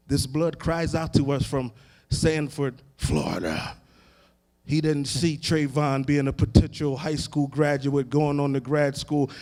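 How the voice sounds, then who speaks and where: High-pitched American preacher in an echoing hall. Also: male voice. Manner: emphatic, oratorical, deliberate repetition with building cadence. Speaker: Baptist pastor. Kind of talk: impassioned sermon. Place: large indoor hall, live event. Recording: echoey hall with long reverb.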